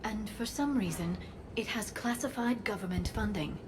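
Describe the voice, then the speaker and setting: A woman's voice: mid-range voice, moderate pace, close to an everyday voice. A woman voicing an earnest, worried alien scholar in a video game, with ambient noise behind her.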